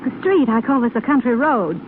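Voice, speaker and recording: A woman's voice: on the high side, lively intonation, a little fast, close to an everyday voice. An actress playing a proud, guarded, indignant widowed lady in a 1930s radio drama, polite but cool. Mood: exasperated. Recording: narrow-band, faintly noisy 1930s radio broadcast.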